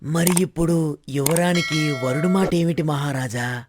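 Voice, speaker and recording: A man's voice: on the high side, a little slow, background noise, lightly acted. A man acting a deferential, obedient royal minister in a story drama, with respectful, formal speech. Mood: respectful, questioning. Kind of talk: scripted Telugu moral-story dramatization.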